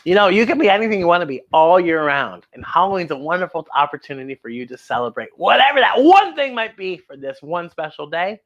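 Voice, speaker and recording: Lady doing kids story time, super animated voice that swoops around, talks right to the little ones. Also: fairly low pitch, fast, hardly any pauses, muffled recording. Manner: animated, exclaiming, addressing young listeners directly. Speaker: host of a children's story time show. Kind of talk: expressive children's storybook read-aloud with commentary.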